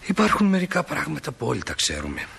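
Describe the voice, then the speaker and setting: A man's voice: a little high, wildly swooping pitch, vocal fry, a bit bright, slightly rough, heavily acted. A man playing a reflective, wry small-town narrator in a stage play, addressing the audience in a folksy, musing way. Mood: solemn, reflective.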